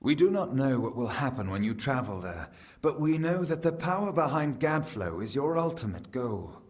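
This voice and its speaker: A man's voice: mid-range voice, big pitch swings, moderate pace, close to an everyday voice. An actor playing a solemn, ancient fae king in a fantasy game, speaking in a formal, portentous manner. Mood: grave counsel. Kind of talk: scripted fantasy game acting.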